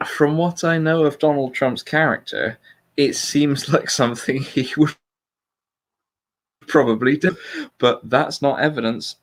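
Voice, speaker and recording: A man hosting a casual livestream political discussion in an analytical way, qualifying his points carefully. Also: medium pitch. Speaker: livestream host.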